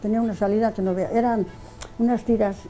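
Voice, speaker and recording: An older woman recalls the past in a loose, rambling way, circling back and repeating herself. Her voice is very dark and mellow. Soft music plays faintly underneath. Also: medium pitch, steady tone, fast talker, rough recording. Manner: rambling, repeats words, restarts often. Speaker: interviewee, widow of the artist. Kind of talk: casual reminiscing in an interview.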